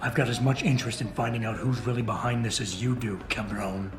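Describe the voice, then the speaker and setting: An actor playing a cool, hard-edged security agent in a video game, terse, dry and controlled. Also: male voice, medium pitch, steady tone, fast, noisy background, lightly acted. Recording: echoey game audio under loud music.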